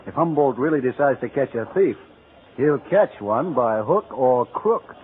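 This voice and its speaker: An actor playing a joshing, gossipy store clerk in an old radio drama, casual and slangy with an American accent. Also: male voice, mid-range voice, animated, a little slow, close to an everyday voice. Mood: chummy. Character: joshing, gossipy male department store coworker. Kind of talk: 1940s radio acting. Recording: tinny, hissy vintage radio recording.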